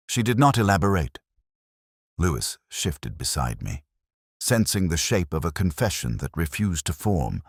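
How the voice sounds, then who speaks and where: Very deep-voiced man narrating a detective story. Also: no room sound, studio quality. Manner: measured, literary, brooding delivery. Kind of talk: first-person scripted detective story narration.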